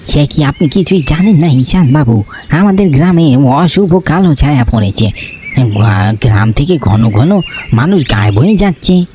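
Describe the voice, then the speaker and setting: A man's voice: on the high side, lively intonation, normal speed, dark tone, natural voice. A man voicing a deferential, uneasy village man in a cartoon. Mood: fearful. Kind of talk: dramatized cartoon dialogue. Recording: clear birdsong noise behind.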